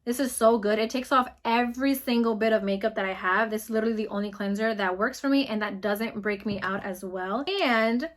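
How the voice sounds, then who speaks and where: Casting note: bubbly, fast-talking woman in her twenties, New York accent, slightly high voice. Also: fairly even intonation. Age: 20s. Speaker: beauty vlogger. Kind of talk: casual product review vlog.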